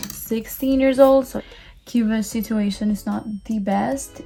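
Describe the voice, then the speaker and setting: A young woman in her early twenties, born in Cuba with Spanish as her first language, talks through a makeup routine in English. Her pitch sits in the middle, and her chat is loose and rambling, full of restarts. Faint music plays underneath. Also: creaky, warm tone. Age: early 20s. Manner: chatty, rambling, lots of fillers and restarts. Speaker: beauty vlogger. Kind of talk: casual get-ready-with-me chat.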